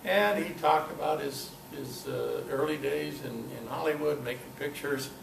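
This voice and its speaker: An older man giving an informal talk at a club meeting, telling personal anecdotes in a halting, conversational way. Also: fairly high pitch, creaky. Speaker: guest speaker at a club meeting. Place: meeting room, in front of an audience. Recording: echoey, noisy room recording from far off.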